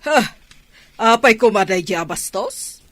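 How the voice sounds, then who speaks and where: A woman playing a sharp-tongued, indignant mother of a grown son in a radio drama, questioning and scolding. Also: mid-range voice, expressive, measured pace, dry recording.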